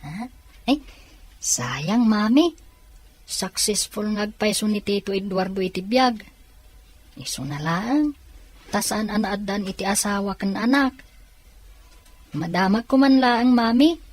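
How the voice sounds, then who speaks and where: A woman's voice: mid-range voice, animated, takes it slowly, long pauses, darkish tone. A woman voicing a curious, respectful son in an audio drama. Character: curious, polite son talking with his mother.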